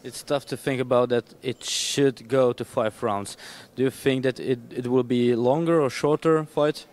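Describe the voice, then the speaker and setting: A man's voice: mid-range voice, fairly even intonation, moderate pace, a little mellow. A man working as a sports reporter, putting plain, direct questions to a fighter in English. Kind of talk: interview questions.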